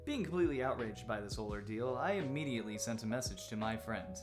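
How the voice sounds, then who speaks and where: Animated male storyteller over music. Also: medium pitch, quick pace. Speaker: host of a commentary series. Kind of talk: casual storytelling commentary. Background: background music with guitar.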